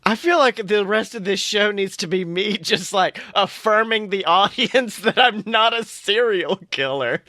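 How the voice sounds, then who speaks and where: A woman co-hosting a podcast, bantering casually about a TV show with excitable, dramatic emphasis. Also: mid-range voice, measured pace, hardly any pauses, no echo, studio quality. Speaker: podcast co-host.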